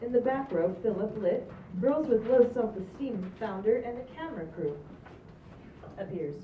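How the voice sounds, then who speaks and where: A woman's voice: fairly high pitch, lively intonation, normal speed. A woman narrating a script at a live table read, reading out stage directions and sounding amused. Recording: distant, echoey, muffled, noisy live recording.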